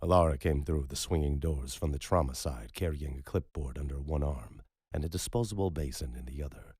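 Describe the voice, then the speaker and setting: A man narrating a story in a measured, unhurried storytelling manner. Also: very deep voice, a little mellow, breathy edge, dry recording, studio quality. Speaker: audiobook narrator. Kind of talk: scripted narration.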